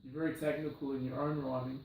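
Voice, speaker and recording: A man's voice: medium pitch, little pitch movement, fast, gravelly, darkish tone, slight background noise. Distant echoey male interviewer. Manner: conversational, asks long leading questions. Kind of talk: casual interview questions. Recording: far from the mic in a very echoey room.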